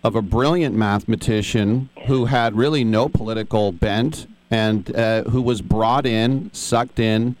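A man's voice: fairly low pitch, a little slow, few pauses, darkish tone, dry recording, low-quality recording. A man hosting a radio interview, talkative and enthusiastic, asking long questions. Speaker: radio host. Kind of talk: interview conversation.

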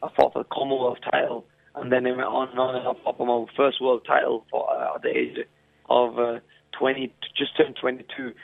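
Gravelly young boxer guy on a dry tinny phone line, halting, lots of "you know". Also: medium pitch, level tone, no room sound. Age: 20s. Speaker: professional boxer being interviewed. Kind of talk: casual phone interview.

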